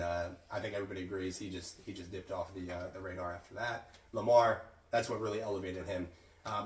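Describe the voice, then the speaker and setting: A hoarse, raspy man hosting a casual sports talk, his low voice a little off mic. The room adds a clear echo and a faint hum of ambience beneath him. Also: far from the mic. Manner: chatty, restarts often. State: hoarse from a cold. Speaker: host.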